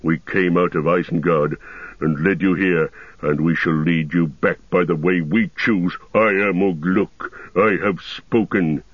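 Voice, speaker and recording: A man voicing a huge, domineering orc commander in a deep growl, blunt and boastful, in a storybook reading. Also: fairly even intonation, a little slow, breathy edge. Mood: boastful, scornful. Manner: blunt, commanding, emphatic declarations. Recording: roomy, dull lo-fi sound.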